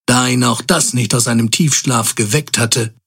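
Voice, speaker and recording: A man as the narrator of a comedy audio drama, delivering scripted narration in a measured, grandiose, tongue-in-cheek way. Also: medium pitch, steady tone, vocal fry, fairly bright tone, husky. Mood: mock-solemn.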